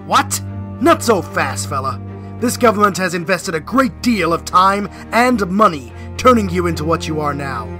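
An actor playing an indignant, threatening military officer in an audio drama, officious and blustering. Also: male voice, high voice, sing-song, moderate pace, sharp tone, faint music behind, exaggerated voice.

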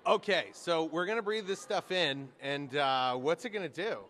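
A man's voice: fairly high pitch, expressive, moderate pace, fairly bright tone. A man, hosting a light radio interview on location. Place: large school science classroom. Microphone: handheld reporter microphone.

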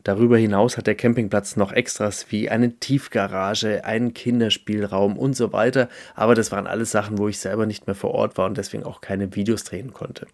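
A man in his thirties reviewing a campsite in a relaxed, chatty way, talking from inside his camper van with a clip-on mic. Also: lowish voice, fairly quick, hardly any pauses, dry recording, clean studio sound, soft background music. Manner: chatty, animated, gesturing with hands. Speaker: camping vlogger. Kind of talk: casual review vlog with voice-over. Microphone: small clip-on mic.

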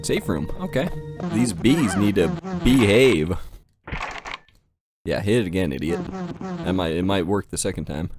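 Male gaming host, low voice with lively inflection. Casual, joking, profane commentary over game music. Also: a little slow, long pauses. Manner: sweary, joking, slangy.

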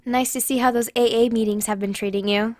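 A woman's voice: a little high, steady tone, brisk, a little mellow, no room sound, clean studio sound, lightly acted. A woman playing a blunt but caring grandmother in recovery, speaking earnestly in an audio drama. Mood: worried, firm. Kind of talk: podcast audio drama acting.

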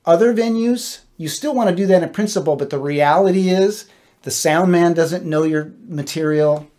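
A man's voice: a little high, normal speed, mellow. A middle-aged American man giving casual, wry instructional tips as a gigging guitarist, speaking into a close mic in a small home studio. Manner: informal, wry and self-deprecating. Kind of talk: casual instructional talk to camera. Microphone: close stand-mounted dynamic mic.

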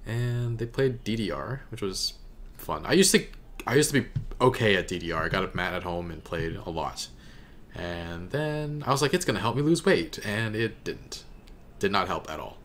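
A man's voice: on the low side, expressive, moderate pace, a bit bright, slight background noise. A young man giving casual reaction commentary as the host, recorded indoors at a desk microphone. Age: young adult. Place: indoor room at home. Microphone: desk microphone close in front.

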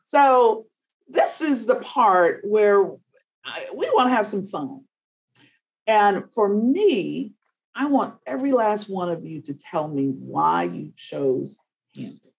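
A woman's voice: medium pitch, big pitch swings, slow, vocal fry. A woman moderating a panel over a video call, playful and teasing with the guests. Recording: slightly dull video call audio.

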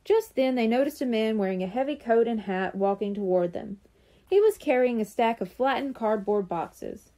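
A woman narrates a children's storybook in a fairly high, mellow voice. Her delivery is very animated and expressive, with lively rises and falls as she moves through the story. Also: moderate pace. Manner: animated, acts out dialogue. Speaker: audiobook reader.

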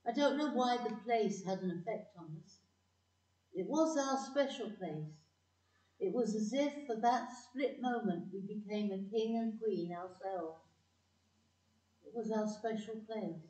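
A woman's voice: mid-range voice, measured pace, mellow, close to an everyday voice. A woman playing an older narrator fondly looking back, in a scripted audio play. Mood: nostalgic. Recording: echoey, muffled, distant microphone.

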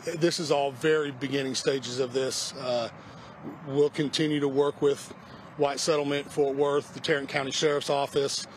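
A middle-aged man, a fire department spokesman, giving a halting outdoor press briefing, stumbling and repeating words when unsure. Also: medium pitch, flat delivery. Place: outdoors by a parking lot. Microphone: news camera mic, close. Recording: outdoor street noise.

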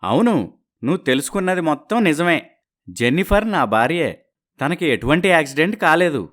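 An actor plays an arrogant, scheming husband in a dramatized moral story. The voice sits at a middle pitch. He boasts, mocks and threatens with a sneering, careless superiority. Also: animated, normal speed, fairly bright tone, lightly acted. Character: arrogant, scheming, mocking husband living in America.

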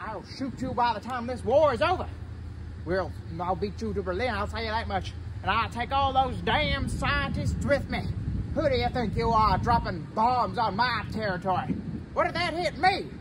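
Man doing a high-pitched folksy American war reporter. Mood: indignant. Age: young adult. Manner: drawling, folksy, blustering. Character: folksy, cussing American wartime newsreel reporter. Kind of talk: parody war propaganda news report. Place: open urban field outdoors. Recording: noisy outdoor recording with traffic.

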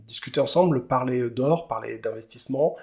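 A man's voice: medium pitch, a little fast, vocal fry, darkish tone. A man hosting a casual interview over a headset mic, chatty and full of fillers. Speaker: podcast host.

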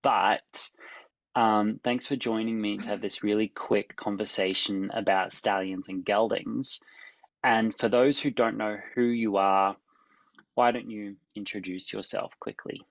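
A man's voice: on the low side, fairly even intonation, a little slow, no room sound. A young man interviewing a guest with polite, brief prompts over a video call. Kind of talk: casual interview. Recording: dull, dry video call audio.

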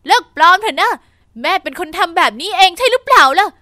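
A woman voicing a scheming, selfish mother in a narrated story, speaking lower and sly. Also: expressive, quick pace, a bit bright, no echo, clean studio sound. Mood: furious.

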